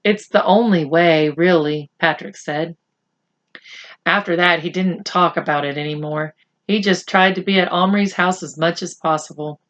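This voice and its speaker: A woman reading a children's novel aloud to young listeners as an expressive storyteller. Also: on the low side, moderate pace.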